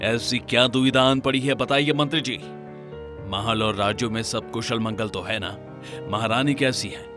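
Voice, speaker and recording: A man's voice: mid-range voice, normal speed, over music, natural voice. A man playing a dignified, secretive king in a cartoon story, speaking formally and with measure. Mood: concerned.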